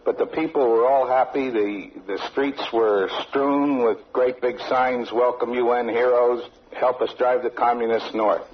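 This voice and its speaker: A man recounts his memories as an eyewitness, hesitant and conversational. His voice is mid-pitched. The old recording is muffled, with faint noise underneath. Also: fairly even intonation, unhurried, few pauses. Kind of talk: unscripted recollection.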